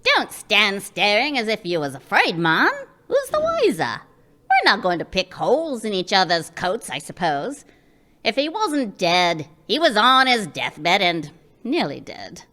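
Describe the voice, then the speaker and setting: Woman as brash grasping charwoman, bright tense voice, huge pitch swings. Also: wildly swooping pitch, a little slow, heavily acted. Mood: brash, scornful. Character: coarse greedy charwoman selling a dead man's things. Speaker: radio play actor. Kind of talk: live radio play acting.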